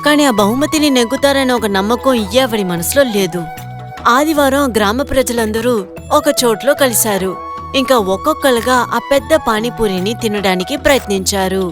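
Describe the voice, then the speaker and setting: A woman's voice: a little high, moderate pace, music in the background. A woman reading scripted narration for a moral story.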